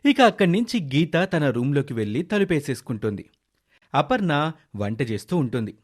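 A man's voice: a little high, big pitch swings, normal speed. A man narrating a scripted moral story as its storyteller.

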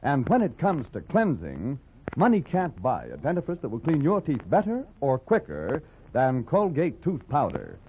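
Very animated 1940s radio ad man. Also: mid-range voice, moderate pace. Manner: emphatic, persuasive. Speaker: radio announcer. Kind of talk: 1940s radio advertising pitch. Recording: tinny old radio, faint noise, clicks.

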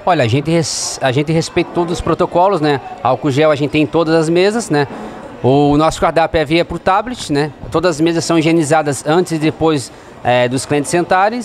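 A man's voice: a little high, brisk, a little hiss or hum. A man in his thirties, a restaurant manager, explaining things plainly in an interview in a restaurant dining room into a handheld mic. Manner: plain, explanatory, frequent "né" tags. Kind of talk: interview answers. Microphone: handheld microphone held by host.

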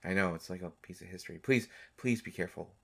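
A man plays a centuries-old male vampire doctor with a low-pitched voice. He is tender and a little mischievous, talking haltingly and teasingly to someone close. It is an intimate audio roleplay performance. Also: creaky, slightly rough, full character voice. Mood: careful, tender. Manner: halting, teasing, soft-hearted speech.

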